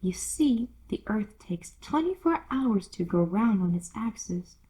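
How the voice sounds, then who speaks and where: Storybook girl, voiced by a woman: timid, well-mannered, inquisitive, soft breathy tone, unhurried. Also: mid-range voice, expressive. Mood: earnest. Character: polite, timid, curious young girl, eager to please.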